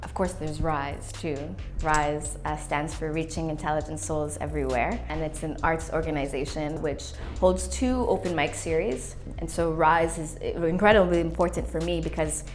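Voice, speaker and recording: A young woman in her twenties speaks in a slightly low voice, earnest and reflective as she is interviewed for a documentary. She talks with speech and a motivational drive, and faint music plays softly behind her. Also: normal speed, hardly any pauses. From Canada. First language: English. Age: 20s. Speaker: playwright and youth worker.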